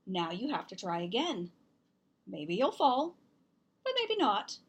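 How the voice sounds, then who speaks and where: A woman reading the part of an encouraging, upbeat mother in a children's storybook, gentle and emphatic. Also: mid-range voice, wildly swooping pitch, slow pace, breathy edge, full character voice.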